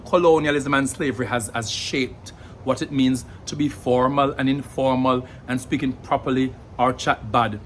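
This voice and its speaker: A young man with a Jamaican accent explains things casually to camera in a mid-range voice, often pausing on fillers and repeating words as he thinks. A faint hum of background ambience sits under his speech. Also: mid-range voice, fairly bright tone. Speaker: language vlogger.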